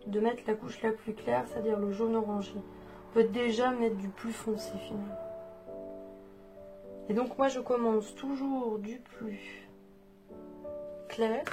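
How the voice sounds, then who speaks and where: A French woman with a cold giving a relaxed coloring tutorial, talking hesitantly with many fillers and restarts. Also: on the high side. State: congested with a cold, coughing. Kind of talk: relaxed step-by-step drawing tutorial. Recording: with guitar music playing behind.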